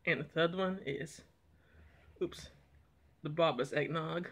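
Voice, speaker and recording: A young man talking plainly and a little haltingly as he reviews eggnog on a home vlog, filmed by a camera on the table in a small room. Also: fairly high pitch, fairly even intonation, creaky, a bit bright. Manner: plain, unhurried, slightly halting talk. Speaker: product reviewer. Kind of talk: casual taste-test vlog. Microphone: camera on table in front.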